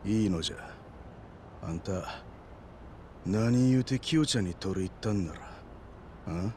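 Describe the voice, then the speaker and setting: An actor playing a grumpy, jealous local landlord with a Hiroshima accent and a brusque, rough manner in a video game. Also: male voice, on the low side, unhurried, dark tone, a little hiss or hum, lightly acted. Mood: brusque, suspicious. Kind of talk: video game cutscene acting.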